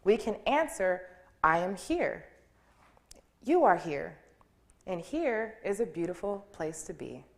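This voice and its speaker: A woman's voice: on the low side, sing-song, takes it slowly, gravelly, long pauses. A woman speaking as a preacher in an informal, reflective, conversational style, with emphatic phrasing. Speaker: guest speaker giving a sermon. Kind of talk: conversational inspirational sermon.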